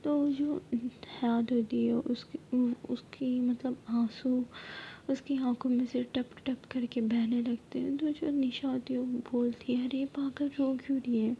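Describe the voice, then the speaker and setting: A woman retelling a story in a soft, steady whisper. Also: on the high side, vocal fry, lo-fi sound, a little hiss or hum.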